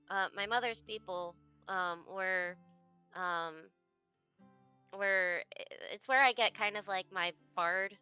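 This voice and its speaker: Hesitant bright-voiced woman roleplaying. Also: mid-range voice, smooth, no echo. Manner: hesitant, many fillers and restarts. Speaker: tabletop game player. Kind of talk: in-character roleplay.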